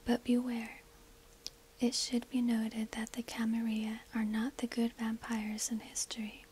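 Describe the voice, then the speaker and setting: A woman whispering breathily in a hushed, deliberate ASMR narration, close to a binaural microphone. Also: on the high side, completely flat delivery, a little hiss or hum. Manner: slow, deliberate, hushed delivery. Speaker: ASMR video host and shopkeeper.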